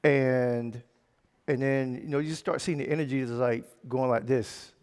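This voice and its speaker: A man interviewing a guest on stage, speaking earnestly, restarting words and rushing in quick bursts. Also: medium pitch, steady tone, a little mellow, clear, resonant tone. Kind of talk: fireside chat on stage. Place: conference stage in a large hall. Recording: long hall reverb, stage mics.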